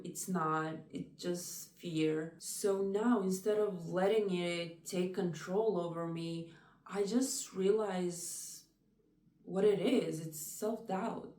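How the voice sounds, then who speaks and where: A young woman talking to camera about personal struggles in a soft, reflective way, with many "like" fillers. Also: on the low side, echoey room, distant mic. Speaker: vlogger.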